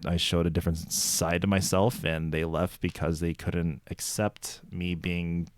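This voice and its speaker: A man's voice: low-pitched, flat delivery, unhurried. A man hosting a podcast, talking in a casual, conversational interview style. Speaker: podcast host interviewing a guest.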